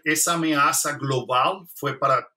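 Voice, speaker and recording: A man's voice: a little high, a little slow, pushed and bright, a little hiss or hum. A man with a Colombian accent, a Spanish speaker talking Portuguese, explaining as a biologist in an interview. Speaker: biologist researcher.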